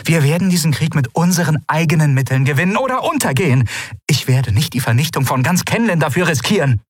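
A man voicing an imperious female ruler who speaks in sharp, commanding outbursts, raising his voice high above his own. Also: lively intonation, normal speed, husky, no room sound, studio quality.

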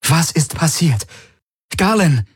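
A man's voice: mid-range voice, expressive, unhurried, breathy edge, dry recording, studio quality, voice pushed up. A man voicing a demanding, worried woman on a call in an audiobook, speaking urgently. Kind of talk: audiobook character acting.

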